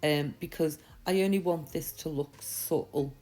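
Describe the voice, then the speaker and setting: A woman with a slightly croaky voice from a mild sore throat, giving a chatty, reassuring, step-by-step tutorial. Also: sits low, measured pace, warm tone, soft background music. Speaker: nail art tutorial host. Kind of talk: casual step-by-step tutorial voice-over.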